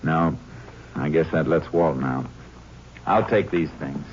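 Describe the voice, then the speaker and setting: Through a dull, faintly hissing old radio recording comes a very deep American male voice. He is a Texas Ranger in a vintage radio play, speaking in plain, laconic phrases with grave courtesy and quiet resolve. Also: measured pace. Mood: probing, firm. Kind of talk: 1950s radio drama acting with first-person narration. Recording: muffled, hissy old-time radio recording.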